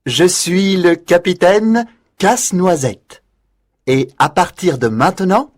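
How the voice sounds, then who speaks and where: A woman voicing a brave young prince, an enchanted nutcracker, in a storybook reading, with a low, slow, solemn delivery. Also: a little mellow, no echo, studio quality.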